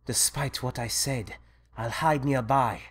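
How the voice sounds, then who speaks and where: A man's voice: mid-range voice, steady tone, a little slow, breathy edge. A man playing a wary, loyal and frightened manservant in an audio drama, deferential and uneasy.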